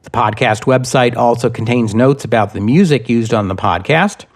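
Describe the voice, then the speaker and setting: A man hosts a scripted history podcast. His mid-pitched voice moves through the material in measured, deliberate phrases, as a narrator reading prepared text.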